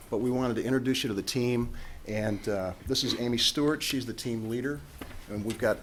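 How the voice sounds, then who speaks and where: A man giving halting public remarks at a council meeting, representing a housing nonprofit. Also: mid-range voice. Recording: noisy meeting-room audio.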